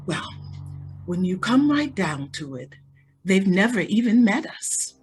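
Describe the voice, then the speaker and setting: A woman's voice: medium pitch, sing-song, measured pace, faint music behind. Lilting, carefree rich girl, acted by a woman. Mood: wistful. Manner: breezy, girlish, exclaiming. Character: carefree sheltered rich young woman, naively thrilled. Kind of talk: radio play acting.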